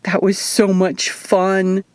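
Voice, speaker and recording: A woman's voice: mid-range voice, completely flat delivery, takes it slowly, a little mellow, no room sound, natural voice. A woman voicing a mischievous, eager young hybrid girl in an audiobook fantasy story, impulsive and bold. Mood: playful, excited.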